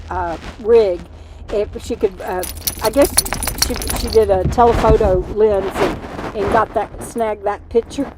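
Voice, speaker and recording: A woman's voice: medium pitch, darkish tone, a little hiss or hum, rough recording. A middle-aged woman, hosting a casual livestream from her RV, rambling and chatty, tired and losing her voice from lack of sleep. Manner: rambling, chatty, talks to her dog mid-stream. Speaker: livestream host. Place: inside an RV.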